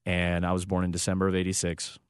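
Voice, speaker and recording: A man's voice: low-pitched, monotone, fast talker, clear, resonant tone, no room sound, studio quality. A man in his thirties, a sports broadcaster, telling a personal family story in a reflective, heartfelt way with frequent restarts. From United States. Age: 30s.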